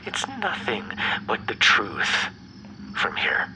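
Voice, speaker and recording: A man playing an obsessive, bitter, menacing ex-lover in an ASMR roleplay, speaking in a breathy, brooding half-whisper. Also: on the low side, big pitch swings, bright, forceful tone, a little hiss or hum, heavily acted, poor audio. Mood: cold menace. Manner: slow, brooding, theatrically menacing. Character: obsessive, bitter yandere male vampire ex-lover, menacing captor.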